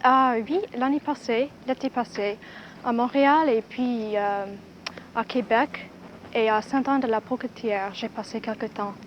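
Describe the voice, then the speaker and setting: A woman from California answers in a fairly high voice, relaxed and lightly hesitant. The sound is dull with a faint hiss, a 1960s radio archive. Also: unhurried. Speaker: student.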